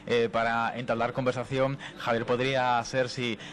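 A man's voice: medium pitch, flat delivery, moderate pace, fairly bright tone. A man from Spain doing a live on-location interview as a radio reporter, chatty and jokey.

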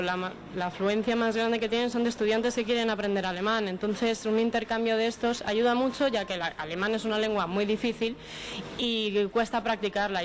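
A woman interviewed as an event coordinator, explaining things calmly. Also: mid-range voice, a little hiss or hum, low-quality recording. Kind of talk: interview answers.

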